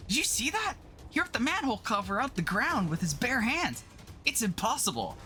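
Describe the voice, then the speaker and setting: A man playing jumpy working-class everymen, cabbies and cops, in a colloquial, excitable comic-book drama. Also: extremely high pitch, fairly quick, full character voice. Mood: alarmed. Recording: music behind the voice.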